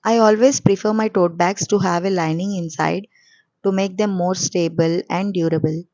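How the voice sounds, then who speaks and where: Hoarse, coarse-voiced woman host; calm step-by-step tutorial narration, slightly low, dry and muffled. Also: normal speed, no room sound. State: hoarse from a cold. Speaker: sewing channel host. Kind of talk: voice-over sewing tutorial.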